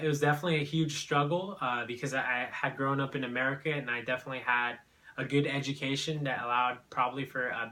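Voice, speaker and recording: A man's voice: medium pitch, sharp tone. A young man from Brazil, telling his own immigration story in an unscripted, hesitant way full of fillers and restarts. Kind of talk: personal testimony, unscripted.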